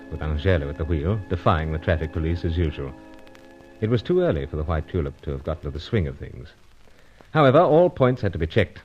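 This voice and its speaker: A brisk British secret agent hero of an old radio serial. His voice is low and swings widely, with clipped, gentlemanly phrasing. The sound is dull and vintage, with music behind. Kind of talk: old-time radio serial acting and first-person narration.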